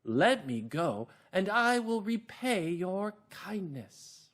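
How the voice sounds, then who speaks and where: A man voicing a tiny, polite mouse in a small, soft voice, meek and courteous, for a children's story. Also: high-pitched, very animated, pitched above the performer's own voice, full character voice. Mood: meek pleading. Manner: slow, pleading, courteous.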